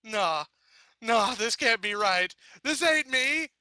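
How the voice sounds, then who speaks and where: Guy doing a squeaky slangy tomboy pony, freaking out, stammers a lot. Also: animated, slow pace, pushed and bright, no echo, clean studio sound, exaggerated voice. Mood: alarmed. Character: brash tomboyish young mare DJ, panicky.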